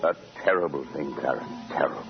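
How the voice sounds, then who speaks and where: An actor playing a grave, authoritative heavenly superior who narrates a 1940s radio drama. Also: male voice, extremely low pitch, fast talker. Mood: grave, storytelling. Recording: muffled 1940s radio recording. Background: prominent music.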